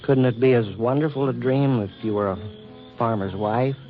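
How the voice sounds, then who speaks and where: An actor playing a courtly, earnest government official who woos in stiff, hesitant, formal speech, in 1940s radio drama style. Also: male voice, mid-range voice, moderate pace, soft background music, lightly acted. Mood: earnest, wooing. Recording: muffled, noisy 1940s radio recording.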